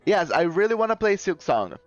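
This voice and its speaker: A man's voice: sits high, sing-song, brisk, no room sound. A man streaming live and chatting casually with his viewers, rambling and often correcting himself with a chuckle. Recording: dull lo-fi stream audio with faint music.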